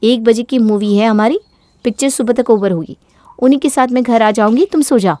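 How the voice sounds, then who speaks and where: A self-centred young married woman, acted out by a woman storyteller, speaks in a fairly high, dry-recorded voice. She is bossy, argumentative and sharp-tongued, as a character in a family morality tale. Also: a little fast, dry recording, natural voice. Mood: irritated, defiant.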